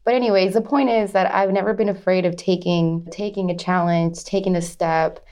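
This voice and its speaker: A woman's voice: lowish voice, steady tone, moderate pace, a little mellow. Chatty woman telling her story. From Arizona, USA. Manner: chatty, frequent laughs and restarts. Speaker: guest. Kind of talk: conversational interview storytelling.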